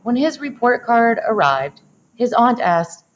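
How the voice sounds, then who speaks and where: A woman reading a picture book aloud in an expressive storytelling style, dwelling on action words with dramatic phrasing. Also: a little high, unhurried, dull audio, a little hiss or hum. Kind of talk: expressive children's read-aloud narration.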